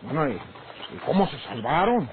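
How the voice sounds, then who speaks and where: High-voiced, bossy Mexican ranch man. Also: big pitch swings, moderate pace. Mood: guarded. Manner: gruff, rustic, threatening. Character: bossy, short-tempered ranch man keeping secrets from his woman. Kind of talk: old radio drama acting. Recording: noisy, tinny old radio recording.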